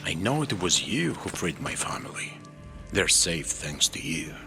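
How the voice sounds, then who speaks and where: A weary older ruler from a video game, cautious and grave. The voice is deep, with solemn, measured phrasing, and music plays clearly underneath. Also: male voice, sing-song, bright, forceful tone, full character voice. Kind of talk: video game cutscene acting.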